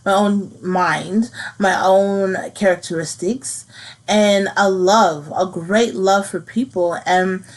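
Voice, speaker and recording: A woman in her thirties hosting her own podcast, speaking earnestly and haltingly about something personal, repeating phrases as she works through it. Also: medium pitch, fairly even intonation. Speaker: podcast host. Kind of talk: personal reflective monologue.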